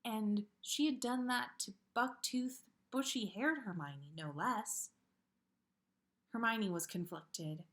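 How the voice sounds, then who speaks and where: A woman's voice: mid-range voice, fairly bright tone. A woman podcast host reading a story aloud live in an informal way, now and then stumbling and rereading phrases.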